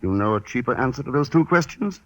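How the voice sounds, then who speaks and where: A man's voice: medium pitch, lively intonation, fast talker, close to an everyday voice. An actor playing a cool, shrewd male investigator in a vintage radio adventure drama, clipped and composed. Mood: cool, businesslike. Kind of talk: 1940s radio drama acting. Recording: noisy old radio recording.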